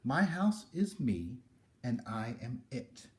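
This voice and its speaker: Man acting easygoing storybook homeowner, dreamy, unbothered, soft tone, swooping intonation. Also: medium pitch, big pitch swings, takes it slowly. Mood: contentedly proud. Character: easygoing, dreamy male homeowner happy being different.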